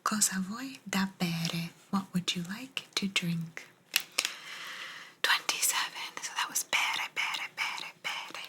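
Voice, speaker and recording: A woman giving a language lesson in a soft, breathy ASMR whisper, gently repeating words. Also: mid-range voice. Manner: slow, gentle repetition of words. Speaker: host teaching Italian vocabulary.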